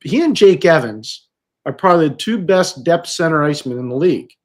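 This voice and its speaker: A man's voice: a little high, lively intonation, fairly quick. A man co-hosting a podcast as a hockey analyst, talking casually and emphatically, repeating phrases for emphasis. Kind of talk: casual sports talk.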